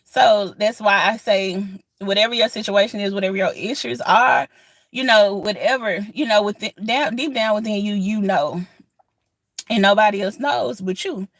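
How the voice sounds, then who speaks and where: A woman's voice: medium pitch, moderate pace, no room sound. A woman in her thirties talks straight to her viewers in a loose, casual vlog style. She is earnest and encouraging, circles back and repeats herself, and leans on plenty of fillers as she talks. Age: 30s. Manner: earnest, repetitive, encouraging, colloquial with many fillers. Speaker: vlogger. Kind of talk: casual outdoor vlog chat. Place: outdoors in sunlight.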